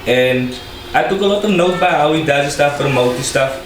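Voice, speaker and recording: A man's voice: fairly high pitch, normal speed. A young man from South Africa with a Cape Town accent, a rapper talking casually as a podcast guest, slangy and laughing often. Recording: echoey room with noise.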